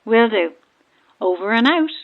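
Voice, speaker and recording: A woman's voice: a little high, expressive, unhurried, creaky. Stranded boat woman on narrow, tinny marine radio: chatty yet cryptic, knowing ghost believer, audio horror drama. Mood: brisk. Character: eerie, self-aware female ghost believer calling from stranded boat. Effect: radio transmission filter. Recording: thin, band-limited marine radio.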